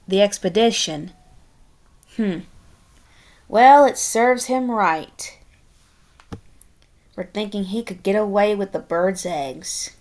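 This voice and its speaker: A woman reading a children's picture book aloud expressively and deliberately, with chatty asides of her own. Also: medium pitch. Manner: expressive, deliberate, adds playful commentary. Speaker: storybook reader.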